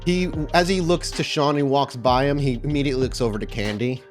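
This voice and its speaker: A man's voice: mid-range voice, brisk, music in the background. A man working as game master, improvising descriptive narration for a tabletop roleplaying game.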